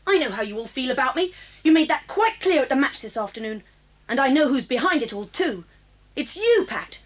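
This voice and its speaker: A woman's voice: sits high, animated, fairly quick. A woman playing a sullen, vengeful teenage English schoolgirl in a children's audio drama, scornful and defiant. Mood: bitter, threatening.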